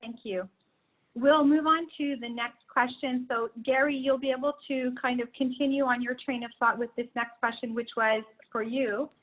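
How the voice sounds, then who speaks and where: Lady moderating a panel, high voice, sounds like it's over a phone line. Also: steady tone. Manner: brisk, polite.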